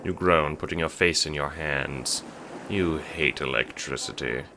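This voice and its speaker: A man's voice: very deep voice, a little slow, noisy background. A man reading scripted second-person narration for an audio drama. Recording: music under the voice.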